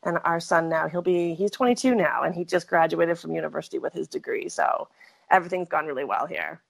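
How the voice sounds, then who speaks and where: A woman's voice: lowish voice, brisk, gravelly. A middle-aged woman from Saskatchewan, Canada, telling her story as a podcast guest in a chatty, rambling way that often corrects itself. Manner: chatty, rambling, frequent self-corrections and laughs. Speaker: interview guest. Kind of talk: conversational storytelling.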